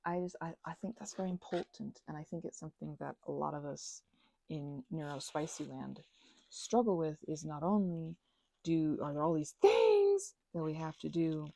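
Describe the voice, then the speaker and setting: A woman's voice: low-pitched, expressive, normal speed, gravelly, mellow, no room sound. A woman chatting informally to her listeners in a rambling, meandering way, drifting into asides and offering casual encouragement. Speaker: ASMR and audio channel creator.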